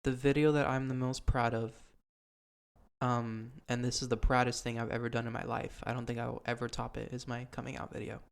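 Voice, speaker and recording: Flat-voiced young man chatting earnestly. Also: medium pitch, fairly quick, gravelly, smooth. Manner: earnest, rambling with many ums. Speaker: podcast host. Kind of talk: casual chat.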